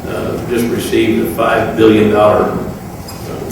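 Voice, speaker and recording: A man speaking off the cuff as an official at a public meeting, halting, solemn and aggrieved. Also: lowish voice, flat delivery. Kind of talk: extemporaneous public remarks. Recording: very echoey room, distant mic, clear noise.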